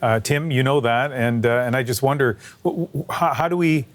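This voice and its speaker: A man's voice: medium pitch, moderate pace. A man hosting a news interview, asking probing questions in an informal, conversational way. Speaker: news show host, interviewer.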